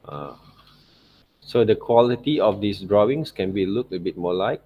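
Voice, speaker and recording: Low-voiced man teaching. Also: moderate pace, slightly rough, highs cut off. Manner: checks in often with tag questions like right and okay. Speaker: art teacher. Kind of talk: informal screen-share tutorial commentary.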